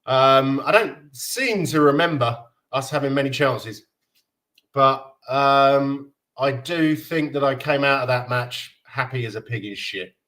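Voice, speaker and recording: A man's voice: medium pitch, unhurried, sharp tone. A man from Denmark in his thirties hosting a casual fan live stream, chatting loosely and reading viewer comments aloud with frequent laughs. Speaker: fan livestream host.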